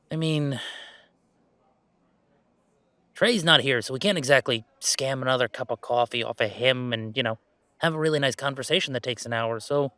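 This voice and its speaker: Male actor as young werewolf gamer, audio drama, earnest and playful, animated intonation, conversational, hesitant and emphatic, dry recording. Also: on the high side, no room sound. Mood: weary, pleading. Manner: halting, emphatic, expressive, with sighs and chuckles. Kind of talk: intimate roleplay audio acting, conversational.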